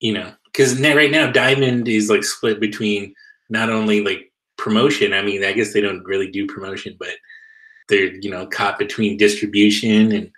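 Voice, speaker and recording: A man's voice: fairly low pitch, normal speed. A young man co-hosting a casual video call chat, speaking loosely with many fillers as a former comics retailer. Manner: relaxed, loose with likes and you-knows. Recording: echoey room over a video call.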